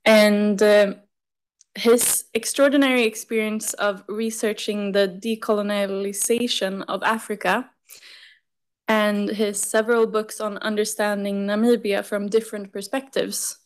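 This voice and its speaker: A young woman introducing the evening's speaker at a lectern microphone in a university lecture hall, with a steady, prepared delivery. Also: mid-range voice, measured pace. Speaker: event host introducing a speaker. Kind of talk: formal introduction.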